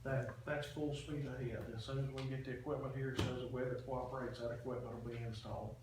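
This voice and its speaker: A man explaining a report at a public meeting in a halting, matter-of-fact way. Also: mid-range voice, level tone. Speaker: official presenting a radio system report to a committee. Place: indoor public meeting room. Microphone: distant room microphone. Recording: echoey meeting room, distant mic, faint noise.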